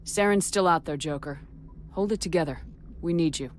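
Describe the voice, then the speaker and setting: Low-voiced resolute female commander acted. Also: close to an everyday voice. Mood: firm, determined. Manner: firm, measured, direct. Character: resolute female military commander, steady and caring. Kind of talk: video game voice acting. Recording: faint background ambience noise.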